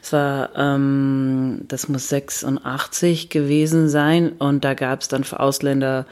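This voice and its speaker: A man's voice: medium pitch, level tone, takes it slowly, a little mellow, smooth. Hesitant man speaking German. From Netherlands. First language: Dutch. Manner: hesitant, many fillers. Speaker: guest, comic artist. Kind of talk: interview answers.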